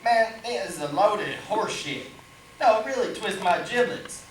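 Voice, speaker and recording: A man's voice: sits high, animated, normal speed. Guy playing a folksy yank, echoey and far, noisy hiss. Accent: American. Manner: folksy, blunt. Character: brash, naive American cowboy-type guy Woody. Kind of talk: amateur stage play recording. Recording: echoey room from far off, hissy.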